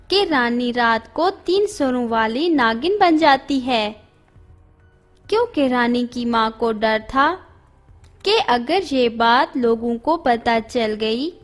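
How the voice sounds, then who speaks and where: A woman tells an animated moral story in a steady storybook manner. Her voice is high and unhurried. Faint background music plays throughout.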